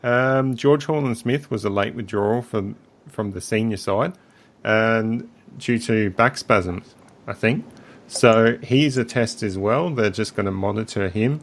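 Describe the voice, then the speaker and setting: A man with a croaky voice, hosting a casual sports podcast in a relaxed way with frequent ums. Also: fairly low pitch, unhurried. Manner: relaxed, leads the segments, many ums. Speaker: podcast host.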